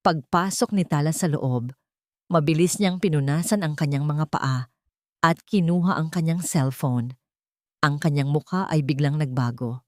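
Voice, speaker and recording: A woman's voice: low-pitched, normal speed, a little mellow, no echo, studio quality. A woman narrating a scripted dramatic story in a melodramatic, emphatic manner.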